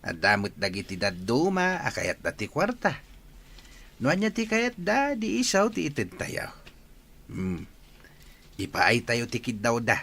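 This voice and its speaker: A man's voice: mid-range voice, wildly swooping pitch, a little slow, gravelly, long pauses, sharp tone, exaggerated voice. A man with a Filipino accent playing a patient, fair-minded middle-aged farmer father in a radio drama. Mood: earnest, advising.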